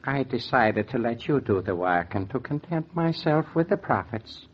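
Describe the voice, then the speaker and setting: A smug, coolly polite gunman in a 1940s radio thriller. His voice sits at middle pitch with formal menace, on a dull old recording. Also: very animated, moderate pace. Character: smug, menacing male rival holding a gun.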